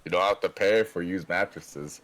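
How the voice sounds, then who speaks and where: A man's voice: sits low, normal speed, fairly bright tone, rough recording. A man joking around in casual voice chat, sometimes drawling out his phrases. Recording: clear background noise, clicks.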